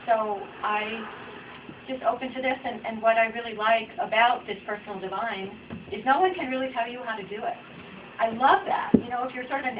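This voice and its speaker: A middle-aged woman giving an informal, rambling, candid book talk to an audience. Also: mid-range voice. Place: bookstore event room with audience. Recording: muffled, noisy bookstore audience recording, distant mic.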